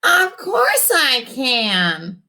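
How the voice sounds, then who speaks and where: A woman's voice: high voice, huge pitch swings, drawn-out words, sharp tone, voice pushed up, full character voice. A woman voicing a nasal, whiny little boy genius who shows off his facts. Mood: smug. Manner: fussy, know-it-all.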